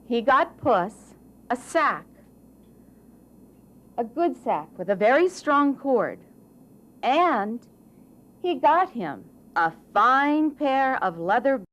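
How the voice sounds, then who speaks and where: A woman with a high voice tells a children's story. She goes slowly and deliberately, swinging her pitch widely and phrasing for drama. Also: sing-song, fairly bright tone, muffled recording. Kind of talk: scripted children's story narration.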